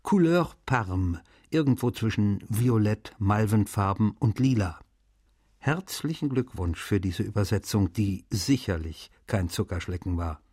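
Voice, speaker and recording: Cordial precise writer, low-pitched, unhurried, letter reading for radio. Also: male voice, lively intonation, darkish tone, husky, no room sound, studio quality. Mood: measured, cordial. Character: French writer answering his translator friend, warm and precise.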